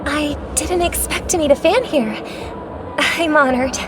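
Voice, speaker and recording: A woman's voice: sits high, measured pace, noisy background. A woman playing a gracious young superstar singer in a video game, speaking politely and formally. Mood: gracious, calm. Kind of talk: scripted game voice acting. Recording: loud music behind.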